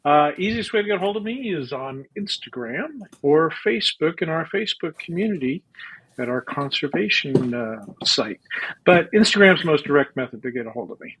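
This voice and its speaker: A man's voice: a little high, animated, a little fast, a bit bright, a little hiss or hum, rough recording. A man co-hosting a live show, talking in a cheerful, upbeat way. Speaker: co-host.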